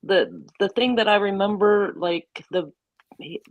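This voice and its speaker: A woman chatting as a guest, playful and quick to laugh, telling stories with animation. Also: mid-range voice, no echo, rough recording. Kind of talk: casual chat.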